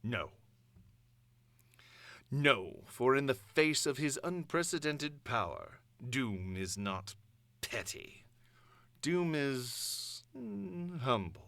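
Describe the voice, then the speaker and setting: A man performing a grandiose supervillain dictator's monologue, pompous and deliberate, addressing the world in imperious, theatrical declamation. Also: medium pitch, very animated, sharp tone, no echo, exaggerated voice. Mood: mock magnanimous. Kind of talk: dramatic villain monologue.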